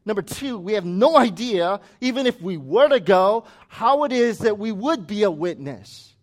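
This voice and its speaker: A man's voice: high-pitched, animated, normal speed. A man who grew up in the United States, preaching a sermon as pastor in a conversational way with chatty asides.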